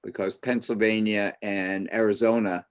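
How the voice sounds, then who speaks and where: A man hosting an online panel discussion, talking in an animated, opinionated way and thinking aloud. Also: lowish voice, moderate pace, clean tone.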